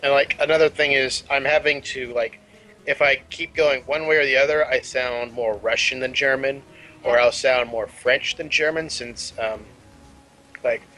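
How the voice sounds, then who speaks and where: A man's voice: mid-range voice, steady tone, moderate pace, bright, forceful tone, rough recording. A young man chatting casually and rambling, with lots of fillers, between game scenes. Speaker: player. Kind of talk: casual chat.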